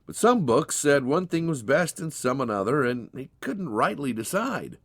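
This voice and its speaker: A man narrates a storybook tale in a folksy way. His pitch moves up and down with lively expression. The sound is dry, with very little room in it. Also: normal speed, dry recording.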